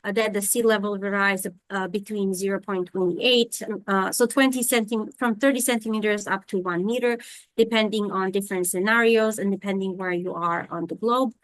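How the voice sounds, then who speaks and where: A woman lecturer gives a scientific talk over a video call, hesitating often with fillers and restarts. Her voice sits at a middle pitch, and the sound is dry with no room echo. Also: steady tone, moderate pace. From Serbia. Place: home in Thailand during monsoon rain.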